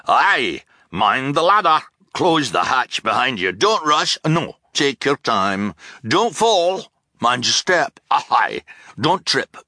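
A man voicing a cheerful, scatterbrained enormous male submarine captain who booms out contradictory orders. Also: sing-song, slow, sharp tone, no echo, clean studio sound, full character voice. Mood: cheerful, scattered. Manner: booming, blurting contradictory orders.

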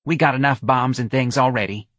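A man's voice: mid-range voice, fairly even intonation, fairly quick, fairly bright tone, no room sound, studio quality, pitched above the performer's own voice, lightly acted. A man voicing a tipsy, folksy mother of a grown son, chatting in a bar. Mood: coaxing.

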